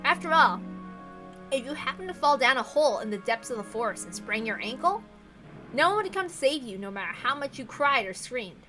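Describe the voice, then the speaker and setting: A woman reading a visual novel aloud as expressive scripted narration. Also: sits high, fairly quick. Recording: music playing behind the voice. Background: background music.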